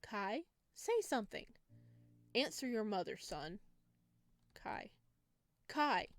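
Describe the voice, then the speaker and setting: A woman's voice: medium pitch, big pitch swings, takes it slowly, dry recording. A woman voicing an anxious, insistent parent in a visual novel, pressing and in denial. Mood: worried, insistent. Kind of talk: visual novel character reading. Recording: music behind the voice.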